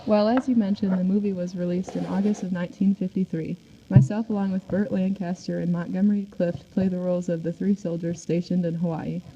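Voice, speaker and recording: A woman takes the part of a 1940s film and music star answering questions on a radio show. The delivery is recited, in a slightly low and very soft-toned voice. The sound is dull and a little noisy. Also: flat delivery, fairly quick, lightly acted. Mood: composed, recited. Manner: reading from a script, recited. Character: famous male 1940s singer and film star, interviewed. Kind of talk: amateur scripted radio show. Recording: dull recording with faint noise.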